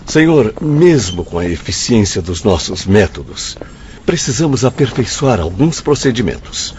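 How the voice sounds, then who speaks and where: An actor with a Brazilian accent playing an earnest, deferential spirit aide in a radio drama, speaking formally. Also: male voice, fairly low pitch, big pitch swings, unhurried, a little mellow. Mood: respectful concern. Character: earnest, deferential male spirit aide reporting to his superior. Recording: dull lo-fi audio, faint noise.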